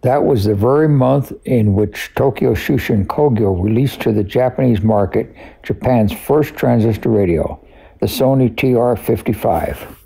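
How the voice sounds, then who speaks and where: Gravelly, sharp-voiced man telling tech history. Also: mid-range voice, measured pace, poor audio. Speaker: radio collector and expert guest. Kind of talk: conversational scripted narration of tech history.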